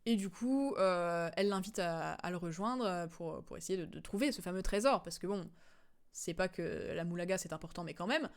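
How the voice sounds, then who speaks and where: A woman's voice: fairly low pitch, animated, moderate pace. A woman streamer chatting casually and digressively as she recaps a video game's story, with a giggly manner. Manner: chatty, digressive, giggly, acts out quotes in slang.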